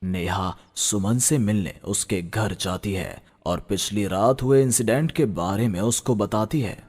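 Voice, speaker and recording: A man's voice: on the low side, unhurried, darkish tone, husky. A man narrating a scripted horror story. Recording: music behind the voice.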